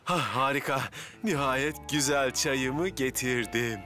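A man's voice: medium pitch, slow, pushed and bright, faint music behind. A man voicing a hapless, good-natured, bumbling firefighter with a hesitant manner in a children's cartoon dub. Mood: musing.

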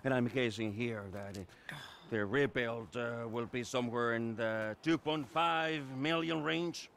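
A man's voice: medium pitch, gravelly, a bit bright, a little hiss or hum. An actor playing a smug, scheming businessman who drags out his words in a game cutscene. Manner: slow, smug, drawn-out.

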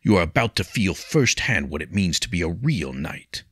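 A man's voice: low-pitched, sing-song, moderate pace, fairly bright tone, breathy edge, no room sound, studio quality, full character voice. A man voicing an arrogant young knight who speaks indignantly and with contempt. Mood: sneering. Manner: haughty, indignant.

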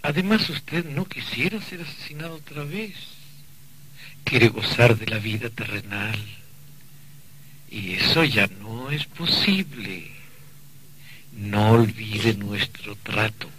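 A man acting a mocking, condescending emissary from the afterlife in a radio play, with a deliberate theatrical manner. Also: mid-range voice, crowd noise, lightly acted, rough recording.

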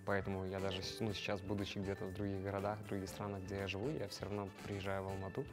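A man's voice: low-pitched, racing, over music. A young man from Kazakhstan in a studio interview, chatty and joking, wearing a lapel mic. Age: young adult. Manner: jokey, animated, laughs at himself. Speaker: show participant. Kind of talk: casual interview. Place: studio with grey backdrop.

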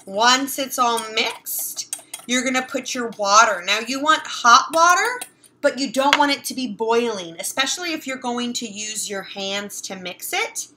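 Young woman teacher, higher-pitched voice. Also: lively intonation, measured pace, background noise. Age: young adult. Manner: simple, step-by-step explaining with repetition. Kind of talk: friendly instructional talk to children. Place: home kitchen, indoors. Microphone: camera at about arm's length.

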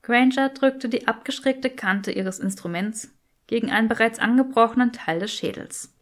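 A woman's voice: on the high side, moderate pace. A woman reading scripted fiction as an audiobook narrator.

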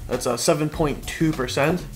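A young man co-hosting a casual beer tasting, relaxed and jokey, fond of puns. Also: a little high, normal speed. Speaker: show co-host. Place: indoor studio set with wooden backdrop.

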